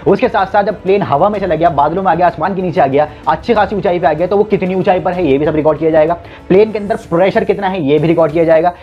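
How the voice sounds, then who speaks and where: A man in his twenties explains things to viewers at a quick pace. He goes point by point, stressing and repeating as he goes. The recording is a little dull and lo-fi, with music playing underneath. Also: high-pitched. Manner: repetitive, emphatic point-by-point explaining. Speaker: presenter of an explainer video. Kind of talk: educational explainer to camera. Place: indoors, small room with a plain wall. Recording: dull lo-fi sound with music behind. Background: background music.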